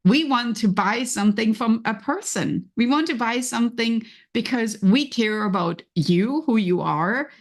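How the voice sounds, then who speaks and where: A woman with a German accent hosting a solo motivational podcast, talking conversationally and encouragingly, often voicing inner thoughts. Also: mid-range voice. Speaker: podcast host and coach.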